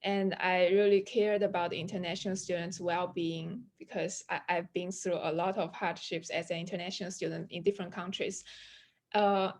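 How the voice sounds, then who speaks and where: A woman's voice: fairly low pitch, flat delivery, moderate pace. A young woman from China introducing herself as a panelist, with frequent hesitations and fillers, on a video call. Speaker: panelist, PhD student. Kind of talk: online panel self-introduction.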